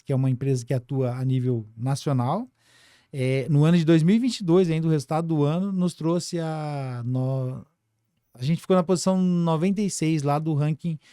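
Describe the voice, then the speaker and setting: A man's voice: on the high side, warm tone, dry recording, studio quality. A Brazilian man speaking as a business guest on a casual podcast, colloquial and full of little tag questions. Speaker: podcast guest, financial services business director.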